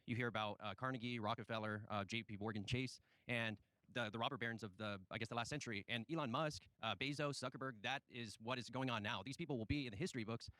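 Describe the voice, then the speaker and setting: A man's voice: mid-range voice, quick pace, sharp tone, no room sound. A man speaking in a group debate, rambling with many fillers and restarts. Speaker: debate participant.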